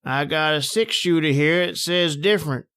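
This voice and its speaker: A man's voice: a little high, normal speed, bright, forceful tone, clear, resonant tone. A man playing a bossy, suspicious small-town American sheriff in a radio horror play, blunt and folksy. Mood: urgent, threatening. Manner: blunt, folksy, barking orders. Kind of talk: old-time radio horror acting.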